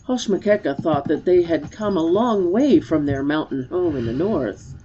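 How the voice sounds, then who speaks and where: A woman narrating a storybook as a dramatic reading, measured and expressive. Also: low-pitched, lo-fi sound.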